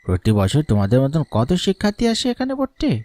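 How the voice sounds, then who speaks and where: A man's voice: mid-range voice, huge pitch swings, quick pace, a little mellow, slight background noise. Man voicing a coaxing village school peon. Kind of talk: dubbed horror cartoon dialogue. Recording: music under the voice.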